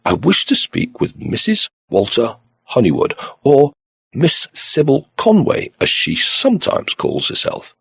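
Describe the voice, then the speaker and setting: Man playing a gravelly, courteous police inspector. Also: medium pitch, wildly swooping pitch, unhurried, no echo, studio quality, pitched above the performer's own voice, full character voice. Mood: polite. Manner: polite, businesslike. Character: steady, courteous male Scotland Yard police inspector.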